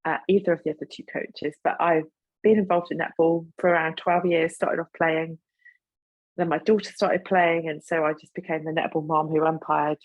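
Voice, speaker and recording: A woman's voice: sits low, flat delivery, normal speed, dry recording, phone-quality sound. A middle-aged woman, a netball coach and umpire, introducing herself modestly on a video call.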